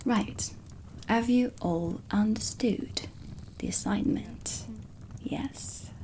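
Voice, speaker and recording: A woman playing a stern, dry dark witch teacher in a soft-spoken ASMR roleplay, deliberate and often slipping toward a whisper. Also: mid-range voice. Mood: dry, disdainful. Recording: close voice under prominent ambient music. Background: ambient music.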